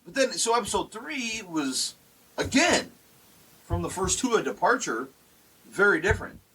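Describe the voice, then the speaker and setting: A man's voice: high voice, big pitch swings, normal speed, pushed and bright, slightly rough, poor audio. A man in his forties co-hosting a casual podcast, talking in a rambling way with many restarts. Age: 40s. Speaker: podcast co-host. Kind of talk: casual chat. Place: basement.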